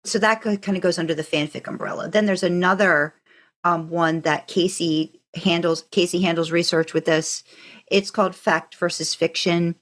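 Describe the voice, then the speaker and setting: A female podcast co-host speaks in a somewhat low register. She talks casually and conversationally, as in an informal chat between co-hosts. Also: fairly even intonation, normal speed, a bit bright.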